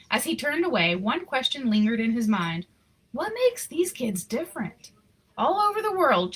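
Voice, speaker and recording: Woman, higher voice, husky edge, storybook read-aloud, informal and expressive. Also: rough recording. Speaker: reader of picture books aloud.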